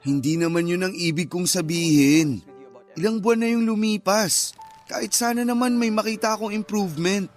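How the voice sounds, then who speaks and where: An actor playing a grieving, patient husband who pleads earnestly and emotionally in a Filipino radio drama. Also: male voice, high voice, normal speed, faint music behind, lightly acted. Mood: frustrated, hurt.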